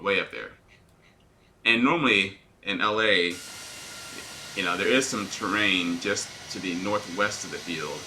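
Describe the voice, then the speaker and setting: Man with a sharp, forceful radio-style voice. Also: deep voice. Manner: talks in a put-on radio-announcer voice; explains checklists step by step and answers viewer questions. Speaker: airline pilot. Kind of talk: live-streamed flight sim commentary.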